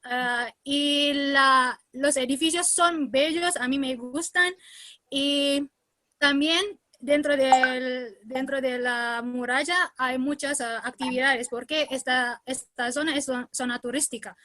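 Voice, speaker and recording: Indonesian accent woman, high voice, slow halting beginner spanish, dry sound. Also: steady tone, sharp tone, no room sound. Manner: halting, searching for words. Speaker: seminar presenter.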